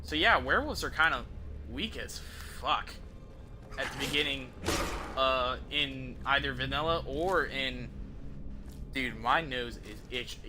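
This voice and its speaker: A man's voice: mid-range voice, expressive, a little slow, noisy background. A man giving casual, profane, slangy gameplay commentary as a game streamer. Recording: game music behind the voice. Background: video game music and sound effects.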